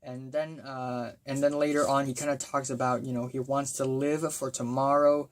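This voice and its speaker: A young man with a voice gone raspy from talking, giving a casual, excitable album review full of fillers and bursts of enthusiasm. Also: mid-range voice, normal speed, slight background noise. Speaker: music reviewer.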